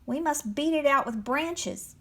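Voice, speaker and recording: Storybook bear, woman reading: worried, argumentative, demanding, highish pitch. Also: animated, measured pace. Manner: arguing, demanding.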